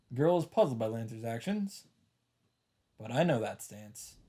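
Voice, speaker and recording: A man's voice: medium pitch, mellow. A man streaming a visual novel, reading the narration aloud and adding casual asides of his own.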